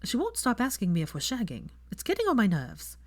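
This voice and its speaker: Casting: bantering young witch for an audio story, lifted pitch, prim and pointed played by a female reader. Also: wildly swooping pitch, fairly quick, dry recording. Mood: airy, teasing.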